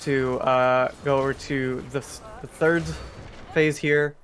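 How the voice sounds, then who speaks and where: A man's voice: medium pitch, steady tone, takes it slowly, fairly bright tone, a little hiss or hum. A man giving casual live commentary on a video game speedrun, explaining as he goes.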